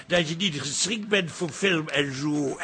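An actor playing a gentle, good-natured, affectionate man in a radio drama. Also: fairly high pitch, a little slow. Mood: curious.

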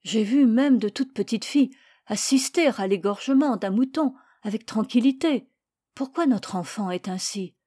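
A woman's voice: fairly high pitch, expressive, moderate pace, warm tone. A woman voicing a kind but stern father who answers his son in a firm, insistent way. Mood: troubled.

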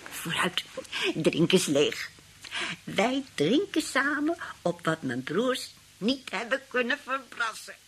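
Sly, sweet-talking old aunt, noisy recording. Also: female voice, fairly high pitch, sing-song, slow pace, a bit bright, exaggerated voice. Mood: reminiscing. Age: older. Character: elderly aunt, sly, sweetly demanding, fond of wine. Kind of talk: radio play acting.

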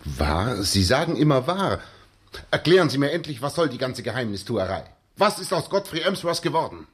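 An actor in a 1960s German radio drama playing an earnest young former soldier, polite but insistent. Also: male voice, medium pitch, sing-song, normal speed, slightly rough. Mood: agitated, demanding. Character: earnest, persistent young ex-soldier seeking his friend.

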